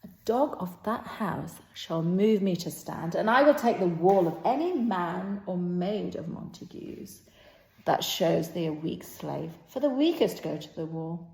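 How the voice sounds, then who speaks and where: A woman playing a boastful, quarrelsome male servant in an old stage play, in a halting declaimed delivery that moves between muttering and projecting. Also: on the low side, expressive, creaky, mellow. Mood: swaggering. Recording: roomy, echoing sound.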